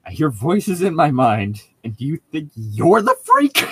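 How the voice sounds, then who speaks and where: A man with a slightly rough, husky voice chats casually as a podcast co-host. His pitch moves around animatedly as he gives blunt opinions. The recording is dry, with no room sound. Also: huge pitch swings, slow pace, a bit bright, no room sound. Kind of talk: casual chat.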